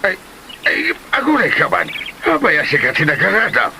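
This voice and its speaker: An actor playing a bewildered, bickering village husband in an Ilocano comedy drama, recorded on noisy location. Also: male voice, high voice, huge pitch swings, normal speed, gravelly, full character voice. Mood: baffled, indignant.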